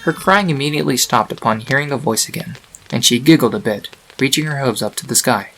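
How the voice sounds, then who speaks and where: A man's voice: medium pitch, lively intonation, fast, bright, forceful tone, slight background noise, low-quality recording. A man reading scripted storybook narration for a fan fiction.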